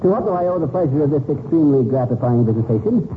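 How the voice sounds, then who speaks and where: An actor playing a blustering husband with pompous, flowery manners in a 1940s radio comedy. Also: male voice, fairly high pitch, expressive, racing, natural voice. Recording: tinny, noisy 1940s radio recording.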